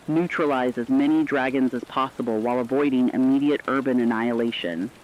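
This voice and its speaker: A man plays a serious radio news correspondent with a mid-pitched voice. The scripted report comes out sober and deliberate, through slightly dull audio with faint noise. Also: lightly acted. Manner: sober, deliberate, dramatic delivery.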